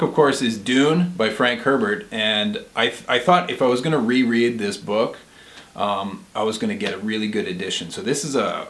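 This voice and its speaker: Guy in his 40s chatting about fave books, lowish voice, pretty enthusiastic, echoey sound. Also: a bit bright. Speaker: book vlog co-host. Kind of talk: casual book recommendations to camera.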